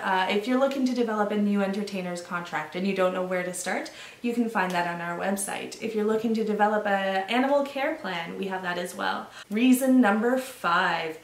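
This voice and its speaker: A woman in her thirties from Canada, casually listing membership benefits to camera in an enthusiastic, off-the-cuff promotional talk, recorded in a small indoor room. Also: medium pitch. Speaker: association representative. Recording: roomy echo, slightly distant from mic.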